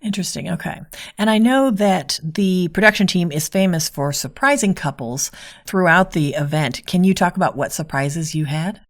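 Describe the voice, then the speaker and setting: A woman's voice: lowish voice, animated, normal speed. A woman hosting an interview, asking conversational questions in articulate, orderly phrasing. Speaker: podcast host, interviewer.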